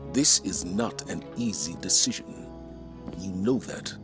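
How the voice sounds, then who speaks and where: An actor playing a principled military commander in a sci-fi game, grave and restrained, with a husky voice. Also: male voice, low voice, expressive, unhurried, over music. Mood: troubled. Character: burdened, principled male military commander, cautious and firm. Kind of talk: dramatic sci-fi game voice acting.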